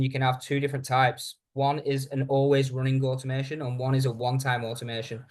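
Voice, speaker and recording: A man's voice: medium pitch, darkish tone. Man presenting an informal training webinar. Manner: informal, addresses listeners directly. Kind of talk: conversational software training presentation.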